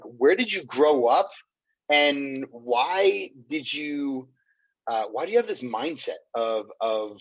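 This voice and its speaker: A man's voice: medium pitch, dull audio. A man hosting an interview show, enthusiastic and affirming toward his guest. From United States. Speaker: podcast host. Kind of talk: conversational interview.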